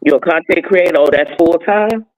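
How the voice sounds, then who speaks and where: A woman's voice: fairly low pitch, a little fast, darkish tone, poor audio. A woman, co-hosting a casual live-stream chat and asking simple questions while checking the chat. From North Carolina. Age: 50s. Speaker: guest co-host.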